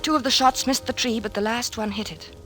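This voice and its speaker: A woman's voice: a little high, brisk, a bit bright, lightly acted. Woman playing an anxious wife over music. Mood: grave narration. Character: anxious, devoted wife narrating her husband's decline. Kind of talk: old-time radio drama narration and acting.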